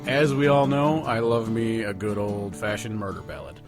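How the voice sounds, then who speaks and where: A man's voice: on the low side, normal speed, music in the background. A man co-hosting a casual talk show, rambling through his commentary in a loose, sweary, conversational way. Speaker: podcast co-host. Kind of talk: casual chat, ranking songs with commentary.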